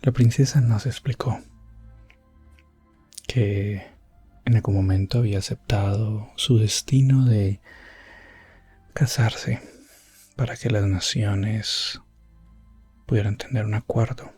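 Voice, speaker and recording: A man playing an elf prince adventurer, telling a fantasy ASMR tale in a soft, breathy, often whispered voice with solemn pauses. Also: lowish voice, steady tone, faint music behind. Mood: calm, reflective. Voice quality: breathy, hushed. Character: elf prince adventurer recounting his rescue quest, gentle and solemn. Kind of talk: ASMR fantasy roleplay storytelling.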